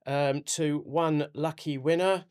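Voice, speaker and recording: A man's voice: on the high side, steady tone, takes it slowly, darkish tone, clean tone. A man hosting a podcast, putting questions to a guest in a conversational interviewing style. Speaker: podcast host.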